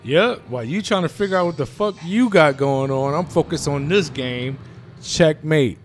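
A man hosting a casual podcast chat in a small studio, loud and playful, swearing freely. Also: a little high, expressive, normal speed, faint music behind. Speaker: podcast host. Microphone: studio mic.

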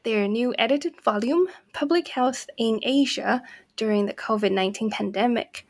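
A woman hosting an academic book interview podcast, speaking gently with hesitant fillers. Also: on the high side, moderate pace. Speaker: podcast host.

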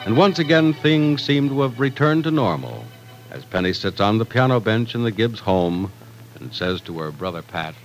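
A man's voice: fairly low pitch, very animated, normal speed, soft background music, low-quality recording. A man announcing and narrating from a script in a polished, emphatic 1940s radio style. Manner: polished, emphatic sales delivery. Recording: vintage radio broadcast recording.

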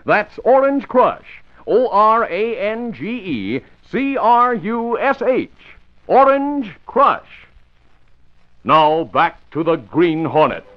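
A man's voice: sits high, sing-song, takes it slowly. A male radio announcer reading a script in hearty, emphatic 1950s style. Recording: muffled vintage radio recording.

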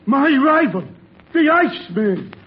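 A man's voice: extremely high pitch, animated, a little slow. A man playing a bumbling, vengeful old surgeon in an old-time radio comedy, with slangy, comic delivery. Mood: shocked. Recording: muffled, very noisy vintage radio recording.

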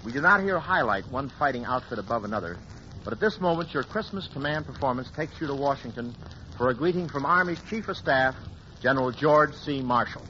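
Man, 1940s radio host, breezy patter, bright forceful tone, muffled hissy broadcast. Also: mid-range voice, expressive. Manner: brisk, breezy showman patter. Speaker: radio variety show host.

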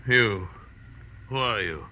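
An actor playing a huge, possessive, hot-tempered islander, theatrical and threatening, in 1940s radio style. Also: male voice, on the low side, lively intonation, takes it slowly, bright, forceful tone, highs cut off, full character voice. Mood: fierce. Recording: noisy old radio broadcast.

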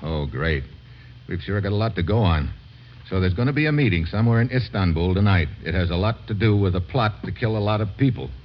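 A man's voice: on the low side, lively intonation, a little fast, lightly acted. An actor playing a hard-boiled, slangy American male investigator in an old radio drama. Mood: wry, determined. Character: tough, plain-spoken American male investigator. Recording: dull, noisy old radio recording.

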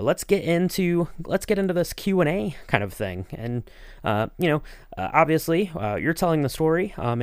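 A man's voice: medium pitch, no room sound, studio quality. A man hosting a podcast interview in a casual, rambling, informal manner. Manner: rambling, informal, often saying you know.

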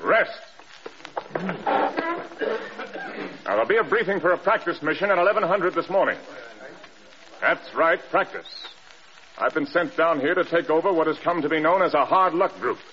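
An actor with an American accent playing a stern, blunt air force general in an old-time radio drama. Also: male voice, a little high, animated, normal speed, long pauses, sharp tone. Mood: hard, commanding. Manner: commanding, clipped, blunt. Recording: dull old radio recording, clear noise.

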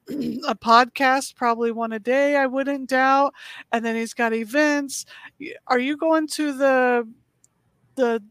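A woman's voice: a little high, dry recording. A middle-aged woman hosting a podcast, chatting casually and bubbly, laughing often. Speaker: podcast host. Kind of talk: casual interview chat.

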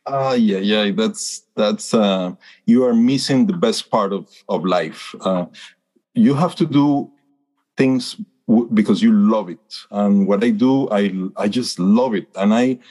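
A man's voice: lowish voice, slow pace, a little mellow. A man in his fifties from Costa Rica, with a Costa Rican Spanish accent, speaking as a birding guide in an interview. His answers are earnest and hesitant, with many fillers and restarts. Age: 50s.

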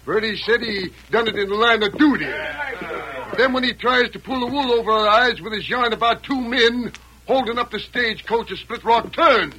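An actor playing a blustering, folksy western town boss with an American Western drawl in an old radio drama. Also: male voice, very high-pitched, normal speed, pushed and bright. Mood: angry, accusing. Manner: bombastic, folksy speechifying. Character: blustering self-appointed western town boss rousing a mob. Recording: noisy vintage radio recording.

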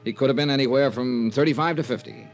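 A man's voice: mid-range voice, quick pace, creaky, soft background music, lightly acted. An American man playing a wry, cool insurance investigator in 1950s radio detective style, with clipped delivery. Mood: dry narration. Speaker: radio drama lead and narrator.

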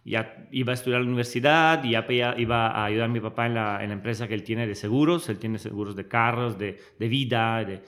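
A man's voice: medium pitch, fairly even intonation, quick pace, few pauses, a bit bright. Man telling his story. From Switzerland. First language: Italian. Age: early 30s. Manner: reflective, storytelling, searches for words. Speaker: guest. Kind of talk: conversational interview.

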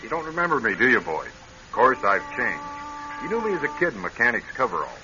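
Radio play villain: mid-pitched, coolly menacing, gloating, music underneath. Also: male voice, huge pitch swings, quick pace. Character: menacing, smug male crook, coolly vengeful. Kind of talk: radio drama acting. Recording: muffled old radio show with music.

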